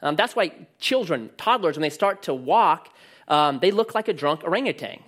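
Pastor, male, loud voice that carries; animated, joking sermon storyteller with lots of pitch movement. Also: moderate pace.

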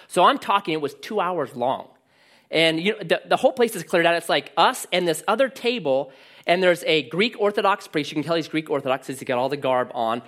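Pastor, male, loud voice that carries; animated, joking sermon storyteller with lots of pitch movement.

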